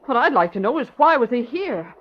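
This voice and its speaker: Decisive young female sleuth, high expressive voice, direct, vintage radio drama, muffled hissy sound. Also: a little fast. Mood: indignant. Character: sensible, decisive young woman investigator. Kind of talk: 1940s radio acting. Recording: muffled, noisy old radio recording.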